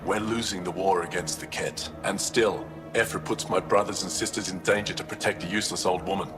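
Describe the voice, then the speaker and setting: Bitter alien turncoat in a cell male actor: deep, brooding game dialogue in a long reverb, clear music behind. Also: steady tone, fairly quick, lightly acted. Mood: resentful, resigned. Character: bitter imprisoned male alien traitor, resigned and defiant. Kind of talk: video game acting. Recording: long reverberant hall echo.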